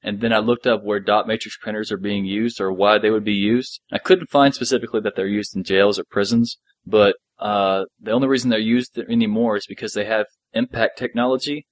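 Low-voiced man chatting on a podcast, dry sound. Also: fairly even intonation, brisk, few pauses, no echo. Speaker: podcast co-host. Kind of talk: casual episode discussion.

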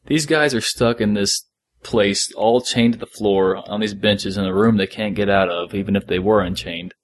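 A man's voice: on the low side, normal speed. A man hosting a podcast, talking casually as a fan discussing a story. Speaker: podcast host.

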